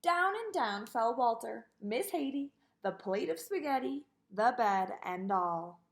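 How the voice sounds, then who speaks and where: A high-voiced woman reads a children's picture book aloud. Her delivery is expressive and swoops widely up and down, turning sing-song on lists and refrains. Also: a little slow. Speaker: storybook reader.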